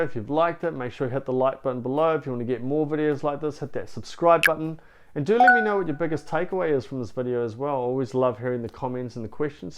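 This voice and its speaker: A man with a New Zealand accent, talking straight to camera as a relationship coach in an earnest, encouraging way, often saying "you know". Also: medium pitch. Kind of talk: direct-to-camera coaching talk.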